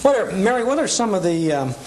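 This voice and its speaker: A woman's voice: medium pitch, sing-song, fast, a little mellow, slight background noise. A woman hosting a casual TV interview, asking questions in an inquisitive, folksy way. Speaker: TV interviewer, host.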